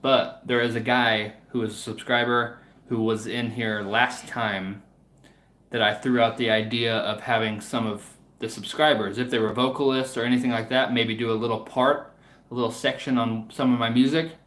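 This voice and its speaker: A man's voice: medium pitch, steady tone, moderate pace, fairly bright tone. Man, livestream host, casual chat, hesitant and rambling, echoey room recording. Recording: roomy, echoing room sound.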